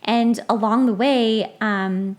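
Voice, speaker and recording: Chatty woman on a podcast, slightly high voice, blunt and animated, tells stories like she's with a friend. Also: slow. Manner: animated, acts out quotes, blunt with swearing. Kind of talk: casual chat, anecdotes.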